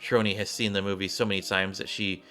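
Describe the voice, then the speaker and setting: A man with a fairly low but bright voice reads game cutscenes aloud like a narrator. He drops in casual asides and rereads lines he trips on. Game music plays behind him. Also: flat delivery, fast. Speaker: gaming streamer reading story scenes aloud.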